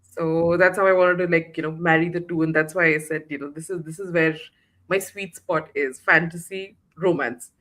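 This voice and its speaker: A woman's voice: fairly low pitch, fairly even intonation, normal speed, fairly bright tone. Hesitant woman author on a video call. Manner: hesitant, many fillers and restarts. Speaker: guest author. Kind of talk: casual interview chat.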